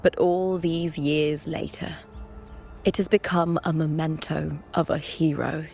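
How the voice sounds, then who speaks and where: An actress playing a solemn young woman warrior who recounts ancient lore in a measured, reverent way for a game story. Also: low-pitched, level tone, gravelly, darkish tone, faint music behind. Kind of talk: scripted game story dialogue.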